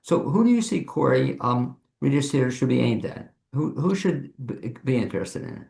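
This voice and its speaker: A man's voice: medium pitch, animated, normal speed, creaky, a little mellow. A man hosting a conversational interview show over a video call from an echoey room. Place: home office studio, on a video call.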